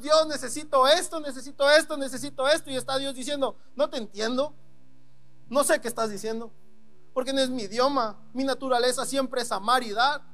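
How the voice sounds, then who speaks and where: A man's voice: very high voice, fairly bright tone. A man with a Mexican Spanish accent preaching a sermon, talking in a casual way and acting out prayers and God's replies. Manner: conversational preaching with dramatic quoted prayers. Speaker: pastor.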